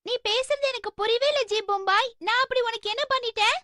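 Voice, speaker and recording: A woman voicing an expressive, pleading little schoolgirl in a dubbed cartoon. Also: extremely high pitch, quick pace, dry recording, studio quality. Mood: wheedling. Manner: childlike, expressive. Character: schoolgirl, small, pleading and wheedling.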